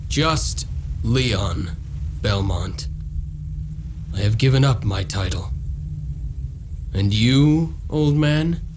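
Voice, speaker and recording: A man's voice: fairly low pitch, animated, extremely slow, pauses often, background noise. An actor playing an earnest, determined nobleman turned vampire hunter in a video game, speaking directly. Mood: firm. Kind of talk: video game cutscene voice acting.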